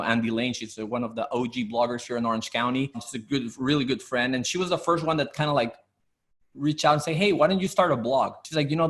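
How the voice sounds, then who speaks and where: A man from Mexico, around his late thirties, talks through his background in a relaxed, rambling way. He fills his sentences with "you know" and "like" as he goes. His voice sits at an ordinary middle pitch for a man. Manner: rambling, conversational, many fillers. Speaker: guest entrepreneur. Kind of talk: casual interview storytelling.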